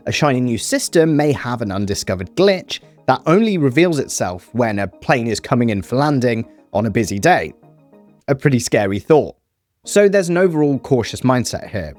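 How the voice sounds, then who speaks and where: A man's voice: mid-range voice, a little mellow. A man presenting a scripted explainer with casual, conversational asides.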